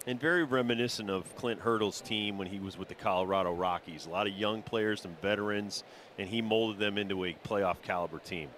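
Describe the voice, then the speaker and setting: A man's voice: fairly low pitch, brisk, a bit bright, slight background noise. An American man giving conversational color commentary on a baseball broadcast.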